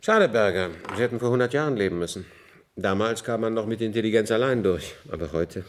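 Low-voiced, authoritative official played by a man. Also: animated, a little fast, slight background noise, natural voice. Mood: reproachful, patronising. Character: authoritative male senior official of an underground survivor community. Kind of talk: German science-fiction radio drama acting.

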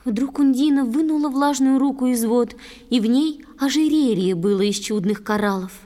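A woman narrator telling a fairy tale in expressive verse, as in an audio play for children. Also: sits high, normal speed. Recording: studio narration over soft music.

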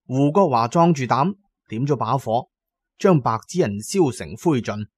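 Casting: male Cantonese narrator for audio horror fiction, mid-pitched, expressive and colloquial, recorded dry. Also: unhurried, husky, dry recording. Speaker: storyteller, narrator. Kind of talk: dramatic scripted audiobook narration.

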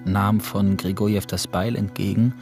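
A man's voice: on the low side, little pitch movement, normal speed, natural voice. A man narrating a literary radio play, reading scripted prose. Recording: studio radio play, loud music underneath.

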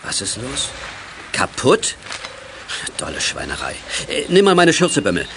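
An actor playing a good-natured, bustling father and mechanic who talks briskly in a children's radio play. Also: medium pitch, huge pitch swings, vocal fry, a bit bright, a little hiss or hum, exaggerated voice. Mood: alarmed, bustling. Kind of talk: 1980s German children's radio play acting.

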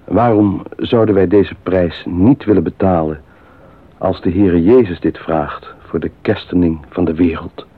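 A man's voice: low-pitched, unhurried. An actor playing a dutiful male missionary and former serviceman, earnest and persuasive in a solemn radio drama. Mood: earnest appeal. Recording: narrow phone-like old radio sound.

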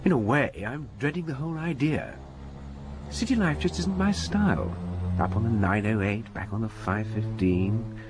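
Actor as lively, sardonic upper-class English prodigal son. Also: male voice, mid-range voice, normal speed, noisy background, lightly acted. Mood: breezy, wry. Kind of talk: radio drama acting.

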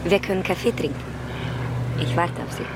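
Eine Schauspielerin als zurückhaltende Kosovarin mit Akzent. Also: female voice, fairly low pitch, normal speed, very noisy, close to an everyday voice. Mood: wistful. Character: reserved immigrant woman taking a sightseeing flight. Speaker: radio drama actor.

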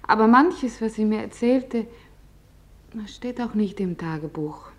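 Actress as a young woman remembering, medium pitch. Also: expressive, unhurried, lightly acted. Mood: tender, remembering. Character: young female office worker, confidante remembering a friend. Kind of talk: documentary radio drama testimony.

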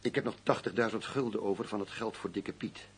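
Embittered, stubborn low-voiced undercover detective. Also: male voice, steady tone, brisk, husky. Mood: sardonic. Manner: indignant, sardonic, plain-spoken. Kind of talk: radio drama acting.

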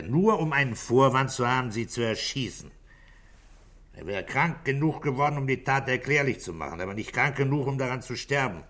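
An actor playing a shrewd middle-aged police inspector in a 1960s radio drama, speaking with deliberate, insistent questioning. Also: male voice, medium pitch, pushed and bright. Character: shrewd male police inspector, methodical, grave, probing. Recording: vintage 1960s radio studio recording.

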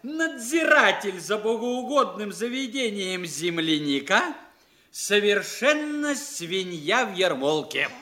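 An actor playing an eager provincial gentleman in a stage comedy, reading aloud in a declamatory, relishing way. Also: high voice, sing-song, slow, a faint crowd, a little hiss or hum, exaggerated voice. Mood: gleeful. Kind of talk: theatrical stage comedy acting.

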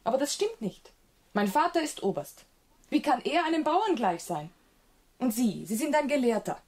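A woman playing a naive, frank young country gentlewoman in a German radio drama, artless and sure of her own opinions. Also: high-pitched, sing-song, normal speed, breathy edge, exaggerated voice. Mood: self-assured, contrary. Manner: artless, self-assured, contrary.

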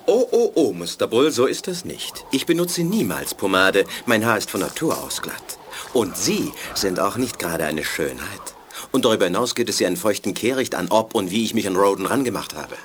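A frank male telepath in a German radio drama from the eighties. His lowish voice swings in pitch and is dry and touchy, over clear noise with clicks. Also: sing-song, moderate pace. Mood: indignant. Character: frank, easily affronted young telepath, human man. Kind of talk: 1980s German radio play acting.

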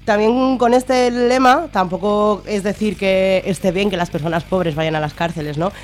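A woman's voice: medium pitch, moderate pace. A woman with a Spanish accent from Spain speaking as an activist guest in an informal radio interview, hesitant and colloquial, often checking for agreement. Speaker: activist guest, member of a citizens' assembly.

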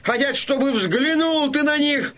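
Very high-pitched shouting Cossack warrior. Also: male voice, measured pace, full character voice. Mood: solemn urgency. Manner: shouting, declamatory. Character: alarmed Cossack warrior reporting to his chieftain in battle. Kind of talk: dramatic audio drama acting. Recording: loud music under the voice. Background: loud music.

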